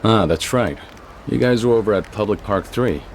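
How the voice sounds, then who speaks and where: A man's voice: lowish voice, moderate pace, a little hiss or hum, lightly acted. An actor playing a stoic, terse tough man in a video game dub, with an American accent. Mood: calm, weary. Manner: terse, restrained. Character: stoic, plain-spoken tough man in hiding.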